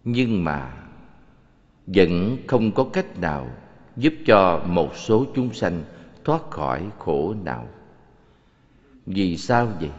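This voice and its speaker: A man voicing a serene, authoritative Buddha in an animated scripture film, teaching in a measured, solemn delivery. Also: sits low, exaggerated voice. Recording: muffled, long cavernous reverb.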